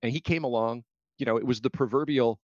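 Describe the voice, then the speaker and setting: A man's voice: mid-range voice, dry recording. An American man speaking as an interview guest in a political debate, arguing his points and often restarting as he rebuts. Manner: argumentative, halting restarts when rebutting. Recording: slightly dull, lo-fi audio.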